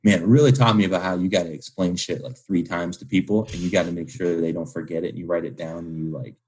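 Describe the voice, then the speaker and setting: Husky, low-voiced young man. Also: fairly even intonation, quick pace, a little mellow, low-quality recording. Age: 20s. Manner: informal slangy speech peppered with "like". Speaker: podcast guest, young entrepreneur. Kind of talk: casual, candid interview talk.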